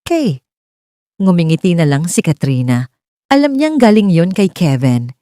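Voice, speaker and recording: A woman's voice: on the low side, big pitch swings, normal speed, dark tone, no room sound, studio quality. A woman reading a scripted romance story as its narrator.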